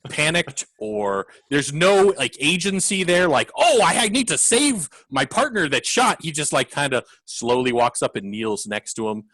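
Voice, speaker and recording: Guy rambling on a movie podcast, kinda high voice, bounces around a lot. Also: normal speed, hardly any pauses, no echo. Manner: animated, rambling with many fillers. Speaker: podcast co-host. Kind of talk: casual film review chat.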